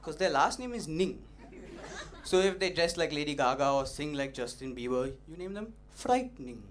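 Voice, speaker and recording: A young man doing an open-mic stand-up set, punchy and animated, teasing the audience, on a stage mic. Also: on the high side, normal speed. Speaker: stand-up comedian. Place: comedy club stage. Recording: noisy live club recording.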